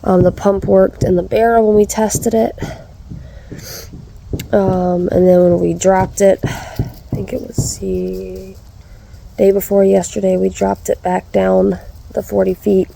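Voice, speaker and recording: Vlogger: woman, stuffy-nosed, gravelly, warm low voice, rambling outdoors update. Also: level tone, a little slow, long pauses, slight background noise, low-quality recording. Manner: chatty, rambling, with frequent ums and restarts. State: stuffy, congested, drowsy. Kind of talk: casual vlog update.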